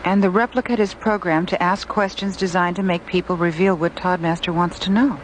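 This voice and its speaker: A woman's voice: fairly low pitch, steady tone, brisk, background noise, natural voice. An actress playing an anxious, urgent female officer on a space station in a radio drama. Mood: troubled. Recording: music behind dialogue.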